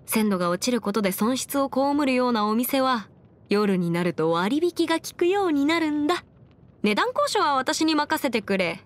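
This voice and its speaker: A woman's voice: high-pitched, very animated, moderate pace. A woman voicing a confident, bookish young female legal expert in a video game, speaking in a self-assured, formal, lecturing way. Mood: friendly, playful. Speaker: video game character voice actor. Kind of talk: scripted anime-style game character monologue.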